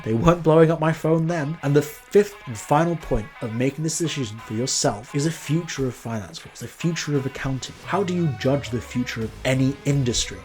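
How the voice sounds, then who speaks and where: A man's voice: medium pitch, a little mellow. A young man originally from Nepal gives earnest career advice in a vlog, posing questions straight to the viewer. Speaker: accountant giving career advice. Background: faint background music.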